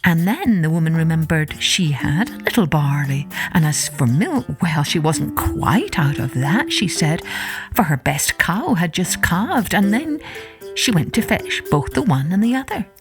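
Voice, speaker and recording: Female children's story narrator, expressive scripted read, lively melodic range, music bed underneath. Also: deep voice, unhurried. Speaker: storyteller, podcast host.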